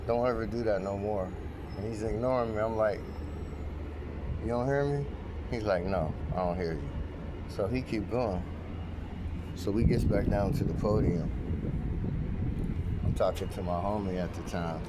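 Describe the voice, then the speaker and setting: A man on the edge of fifty tells a story from his job in a relaxed, slangy way, circling back and repeating words. His voice sits a little low. Loud street traffic runs behind him the whole time. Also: normal speed, long pauses. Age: 40s. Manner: animated, repetitive, streetwise slang. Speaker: airport wheelchair attendant. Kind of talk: casual storytelling vent.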